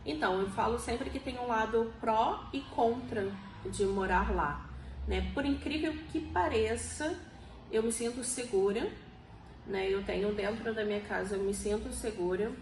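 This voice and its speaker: Higher-voiced Rio woman in an echoey room. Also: measured pace. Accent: Rio de Janeiro Brazilian Portuguese. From Rio de Janeiro, Brazil. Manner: explanatory, frequently seeks agreement with tag questions. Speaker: interviewee. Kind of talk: conversational interview answers. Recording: echoey room, faint background noise.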